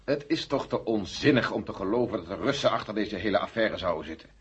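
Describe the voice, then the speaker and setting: A man in a radio play acting a husky-voiced, sceptical, sardonic army general. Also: fairly low pitch, lively intonation, fast talker, a little hiss or hum, close to an everyday voice. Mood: indignant, sceptical. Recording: dull old radio play recording.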